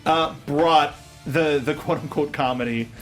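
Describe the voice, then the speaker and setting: A man with a fairly high voice hosts a casual movie review panel. He talks in a halting, joking way, feeling his way through sentences, while music plays behind him. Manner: halting, jokey. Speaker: host of a film review panel show. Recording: music playing behind the talk.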